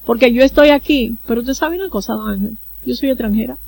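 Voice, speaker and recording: A woman's voice: fairly high pitch, quick pace, gravelly, darkish tone, breathy edge, dry recording. Earnest Dominican woman explaining procedures. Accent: Dominican Spanish. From Dominican Republic. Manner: earnest, explanatory, uses everyday examples. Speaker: consular official. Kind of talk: radio interview guest, explaining.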